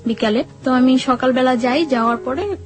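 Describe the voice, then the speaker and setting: Interview guest: young woman, fairly high voice, repetitive step-by-step storyteller, light music underneath. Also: normal speed. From Bangladesh, Barisal region. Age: young adult. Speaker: guest telling life story. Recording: music playing behind the voice. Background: background music.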